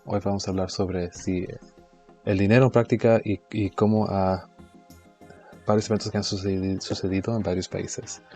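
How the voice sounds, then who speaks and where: A man's voice: deep voice, flat delivery, moderate pace, warm tone. A man giving an informal history lesson, speaking hesitantly with frequent restarts and self-corrections. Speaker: presenter of an educational video series. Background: faint background music.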